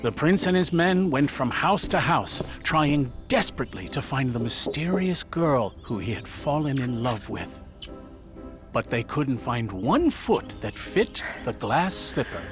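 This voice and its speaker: A man narrating a scripted children's fairy tale in an expressive, dramatic storytelling manner. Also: medium pitch, a little slow. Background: music.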